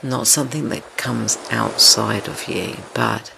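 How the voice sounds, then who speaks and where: A man leading a guided meditation in a gentle, soothing, tender manner, unhurried and with long pauses between phrases. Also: medium pitch, gravelly. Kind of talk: slow guided meditation, yoga nidra. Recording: steady ocean wave noise behind voice. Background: ocean wave sounds.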